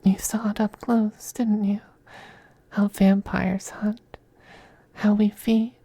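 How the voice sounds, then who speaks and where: A man playing a possessive, teasing femboy vampire in an intimate ASMR roleplay, speaking softly and breathily, often in a whisper, with coaxing, condescending affection. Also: sits high, flat delivery, slow, creaky, no room sound, exaggerated voice. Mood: menacing, wistful. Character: possessive, teasing, doting femboy vampire master styled as mistress.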